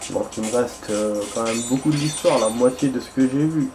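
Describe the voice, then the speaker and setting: A man's voice: mid-range voice, normal speed, over music, poor audio. A young man chatting casually while playing a game, rambling and hesitant, with many fillers and restarts. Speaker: gaming streamer.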